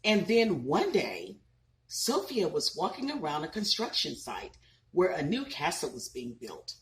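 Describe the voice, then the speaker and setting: Expressive mom reading a storybook aloud. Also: female voice, deep voice, measured pace, a little hiss or hum, poor audio. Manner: expressive, asks listeners questions. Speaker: parent reading to children. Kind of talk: reading a picture book aloud with asides.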